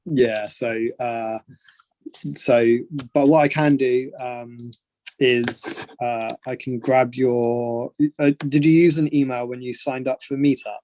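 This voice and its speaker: A man's voice: medium pitch, fairly even intonation, slow, a little mellow, rough recording. A man from England, a photography coach, answering questions casually on a video call with many fillers and restarts.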